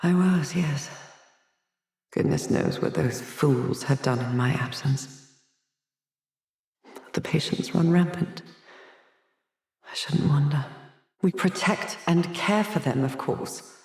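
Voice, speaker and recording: A man's voice: on the high side, slow pace, long pauses, a little mellow, roomy sound. A man playing a haughty, haunted asylum doctor in a video game, theatrical and erudite, fretful and hesitant, obsessed with his art. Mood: disdainful. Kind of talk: dramatic video game dialogue.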